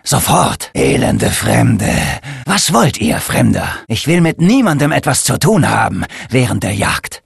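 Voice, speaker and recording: A hostile townsman in a dark video game, half mad with mockery and contempt. His gravelly, raspy voice swoops widely in pitch. The recording is dry. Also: wildly swooping pitch, a little slow, no room sound, heavily acted. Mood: hostile, curt. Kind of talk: video game character acting.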